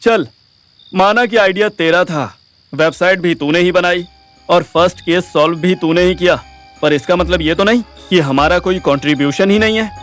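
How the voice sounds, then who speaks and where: An actor plays a hot-headed young Indian guy in an audio drama. He has a high-pitched male voice and a brash, slangy, complaining delivery, with soft music behind. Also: normal speed. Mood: argumentative. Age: young adult.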